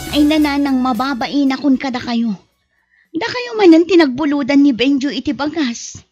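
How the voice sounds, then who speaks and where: A woman's voice: sits high, normal speed, darkish tone, faint music behind, close to an everyday voice. A woman playing an aggrieved young mother and fed-up wife, plaintive and nagging, in an amateur audio drama. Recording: dull lo-fi podcast audio.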